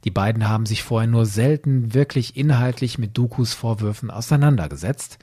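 A man's voice: medium pitch, brisk, warm tone, slightly rough, dry recording, studio quality. A man hosting a podcast, delivering scripted commentary in an opinionated, emphatic way. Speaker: podcast host.

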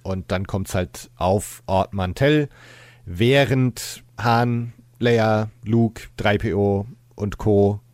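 Lowish-voiced man in a dry studio sound. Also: steady tone, extremely slow, darkish tone, no room sound. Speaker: podcast co-presenter. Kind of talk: casual podcast discussion, retelling a story.

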